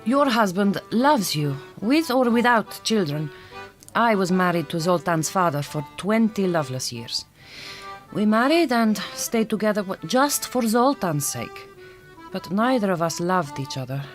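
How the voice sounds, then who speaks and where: A woman playing a grieving, frank middle-aged Hungarian vet in a radio drama, with a Hungarian accent and deliberate, formal phrasing. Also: medium pitch, big pitch swings, faint music behind. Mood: bitter, candid.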